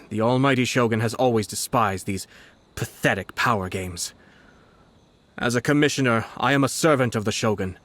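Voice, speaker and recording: A man playing a refined, shrewd noble commissioner in a video game, formal and measured. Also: mid-range voice, a bit bright, lightly acted. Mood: firm, formal. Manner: formal, measured, precise wording.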